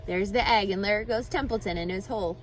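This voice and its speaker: A woman's voice: mid-range voice, a little fast, slight background noise. A woman reading a children's book aloud expressively, now and then speaking to the listener. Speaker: storyteller.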